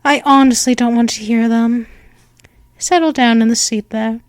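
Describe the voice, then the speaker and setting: A woman's voice: a little high, fairly even intonation, moderate pace, smooth, dry recording, close to an everyday voice. A woman voicing an ancient, seductive female vampire mistress in a hypnosis roleplay, talking in a soothing, coaxing way. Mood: curious, aloof.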